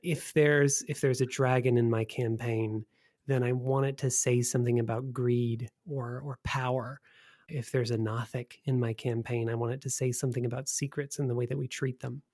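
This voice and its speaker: A man's voice: mid-range voice, flat delivery, normal speed, darkish tone. A man hosting a podcast, talking with enthusiasm in both introductions and conversation. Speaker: podcast host. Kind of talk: scripted podcast introduction and casual interview talk.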